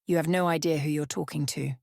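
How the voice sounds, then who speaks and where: Storybook vampire woman, read by a female narrator: unflinching, calm, quietly threatening, lowish pitch. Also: quick pace, vocal fry, dry recording, clean studio sound. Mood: menacing. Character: young female vampire, cool, menacing, unflinching.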